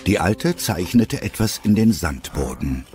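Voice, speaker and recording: A man's voice: sits low, animated, a little slow, warm tone, soft background music. A man narrating a scripted audio drama as its storyteller.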